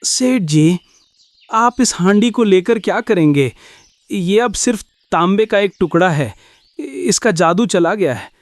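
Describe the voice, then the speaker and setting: अभिनेता बना परेशान, ईमानदार गरीब आदमी, ऊँचा सुर. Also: male voice, high voice, expressive, measured pace, no echo. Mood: earnest appeal. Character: earnest, honest poor male eatery owner. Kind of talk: Hindi animated moral story acting.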